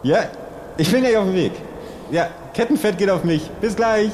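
A man's voice: high voice, normal speed, a little mellow, a little hiss or hum, voice pushed up, natural voice, rough recording. A man playing a self-important God in an improvised comedy sketch, moving between solemn pronouncements and casual chattiness. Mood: casual, chatty. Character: grand, self-important God who chats like a laid-back fan.

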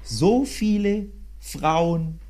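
A man's voice: fairly high pitch, lively intonation, extremely slow, dark tone. A man talking casually and enthusiastically, as a football podcast co-host. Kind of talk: casual football chat, recounting a trip.

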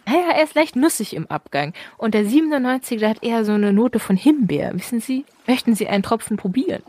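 A woman's voice: on the high side, lively intonation, fast, darkish tone, no room sound. A woman playing a tabletop roleplaying game, casually improvising in character with playful politeness.

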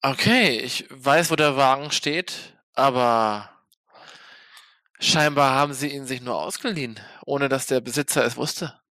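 A man playing a polite, practical vampire investigator in an audio drama, thinking aloud haltingly. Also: medium pitch, sharp tone, no room sound. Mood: puzzled. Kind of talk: improvised role-play audio drama dialogue.

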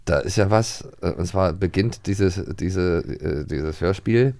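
A man's voice: low-pitched, slow, a little mellow. A man co-hosting an audio magazine in casual, conversational chat. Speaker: magazine show co-host.

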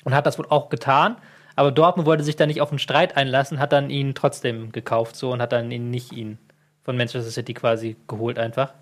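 A man's voice: medium pitch, little pitch movement, a little fast. A man with a mid-range voice co-hosts a casual football chat. He speaks hesitantly, full of fillers, and openly admits gaps in his knowledge. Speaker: football podcast co-host.

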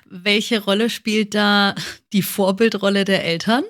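A woman acts as host of an interview. Her voice sits at an ordinary middle pitch. She speaks conversationally, reflecting on points and leading into questions. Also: fairly even intonation, a little slow, fairly bright tone.

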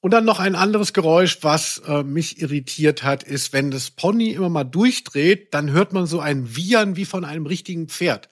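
A man's voice: a little high, moderate pace, hardly any pauses. A man co-hosting a podcast in humorous casual chat, wordy and mock-indignant. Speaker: podcast co-host.

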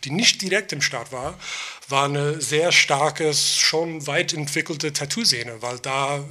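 A man's voice: a little high, slow pace, pushed and bright. A man in his forties from New York with an American accent, telling stories casually as an interview guest, hesitating and mixing English words into non-native speech. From New York, United States. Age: 40s. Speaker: podcast guest, tattoo artist.

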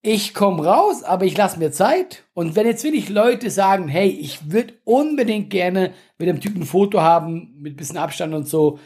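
A man co-hosting a podcast in relaxed, chatty conversation, slightly congested from a cold. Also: high voice, normal speed, few pauses, husky. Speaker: podcast co-host. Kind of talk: casual chat.

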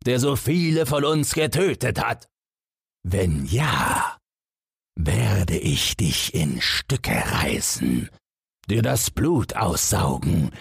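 A man voicing a huge, bloodthirsty, boastful giant in a fairy-tale audiobook, with a booming, slow and menacing delivery. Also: creaky, slightly rough, dry recording, studio quality, full character voice. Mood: furious, threatening.

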